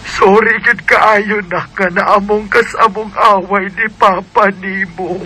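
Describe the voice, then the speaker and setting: A man's voice: sits high, fairly even intonation, a little slow, sharp tone, slight background noise, full character voice, low-quality recording. A man voicing a worried mother who pleads with her grown son in a radio drama. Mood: tender worried. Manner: begging, tearful pleading.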